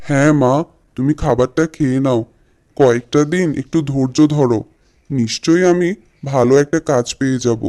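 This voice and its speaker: A man's voice: medium pitch, moderate pace, mellow, lightly acted. A man playing an earnest, patient grown son in a cartoon, reassuring in manner. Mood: pleading reassurance. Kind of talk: Bengali cartoon voice acting.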